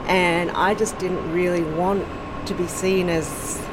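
An Australian woman from Sydney, speaking in a casual, thoughtful interview and pausing often with ums and restarts. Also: lowish voice, fairly even intonation, background noise. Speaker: podcast host being interviewed.

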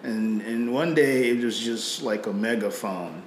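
A man's voice: fairly low pitch, a little slow, echoey room, slight background noise. A middle-aged man from Nigeria with a slight British-tinged Nigerian accent, speaking reflectively about faith, often repeating words and using fillers. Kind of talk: faith conversation.

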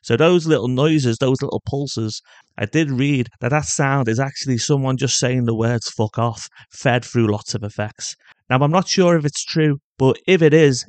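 A man presents a podcast as its host. He reads scripted narration in a medium-pitched voice. The recording is dry and free of room sound. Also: a little mellow, no echo.

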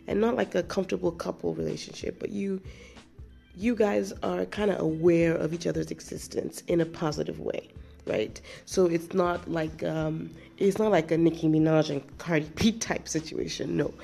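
Casting note: chatty female podcast host, voice still rough from a cold, heavy vocal fry, lowish, soft music under her. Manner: chatty, rambling, frequent restarts and laughs. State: recovering from a cold, chesty cough. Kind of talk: casual storytelling monologue.

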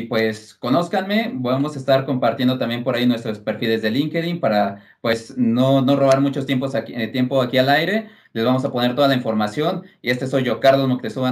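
A Mexican man co-hosts a podcast in a casual, conversational way. His voice sits at an average pitch, and he rambles informally as he explains things. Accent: Mexican Spanish. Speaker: podcast co-host. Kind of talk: casual chat.

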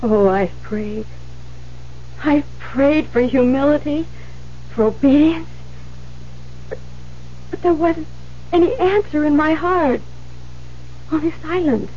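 An actress playing a meek, troubled young nun in a 1970s radio drama, hesitant, stammering and earnest. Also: female voice, high-pitched, takes it slowly, pauses often. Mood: sorrowful. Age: young adult. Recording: dull, noisy 1970s radio recording.

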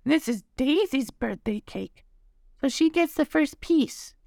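High, lilting storybook mommy. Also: expressive, slow, gravelly, darkish tone, no echo, studio quality, heavily acted. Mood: kind, explaining. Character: kindly gentle young mother of little monster.

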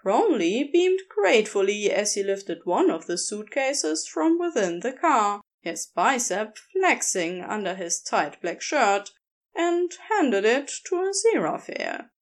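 Woman reading a story out loud, really slow, voice goes up and down a lot. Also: on the high side, very animated. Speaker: podfic narrator. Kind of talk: scripted story narration.

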